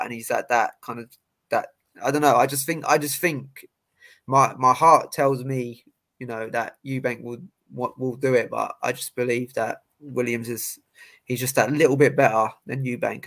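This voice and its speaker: A man's voice: mid-range voice, fairly bright tone. A young man, a boxer hosting a podcast, chatting casually with lots of fillers and restarts. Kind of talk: casual chat.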